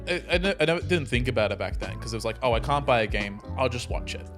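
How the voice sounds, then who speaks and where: Male co-host, 20s, middle-pitched, loose rambling storyteller in casual group talk, music underneath. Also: expressive, fast, a bit bright. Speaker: podcast co-host. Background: music behind the talk.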